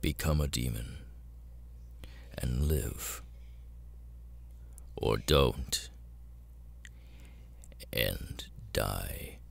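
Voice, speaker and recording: A man playing a cold, menacing, seductive demon lord in an ASMR audio roleplay, breathy and gravelly, often near a whisper, with slow deliberate pauses. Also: a bass voice, animated, exaggerated voice. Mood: menacing, coaxing.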